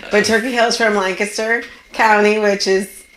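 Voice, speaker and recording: A woman's voice: mid-range voice, fairly even intonation, moderate pace, a bit bright, echoey room. A woman with a Pennsylvania accent co-hosting a podcast, chatting enthusiastically. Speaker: podcast co-host. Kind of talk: casual chat.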